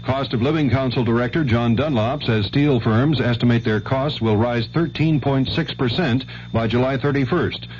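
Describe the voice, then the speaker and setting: A man's voice: mid-range voice, normal speed, a little mellow. A man with a mid-range voice reads scripted radio news. The sound is dull and dated, with a faint hum behind him. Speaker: radio newsreader.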